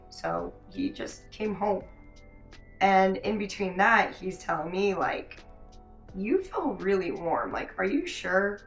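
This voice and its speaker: A young woman with a raspy, hoarse voice, telling a rambling story in a casual vlog. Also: mid-range voice, a bit bright. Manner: chatty, laughing, many likes and restarts. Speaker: vlogger. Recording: roomy echo, faint music behind.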